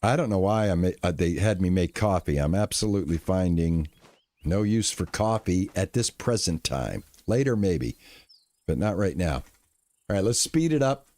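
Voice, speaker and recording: A middle-aged man streaming a farming game, talking easily to his chat in a calm, lulling voice. His pitch rises and falls a lot as he reacts to the game. The sound is close and dry, with no room echo. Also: normal speed. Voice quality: lulling, relaxing voice. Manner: relaxed, chatty, talks to chat. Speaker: gaming streamer. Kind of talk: live gameplay commentary.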